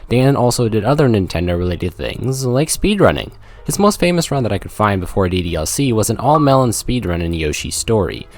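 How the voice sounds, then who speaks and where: A man's voice: lowish voice, very animated, brisk, darkish tone. A young man reading a scripted video game review, with jokey, self-aware asides. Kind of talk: scripted video essay narration. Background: background music.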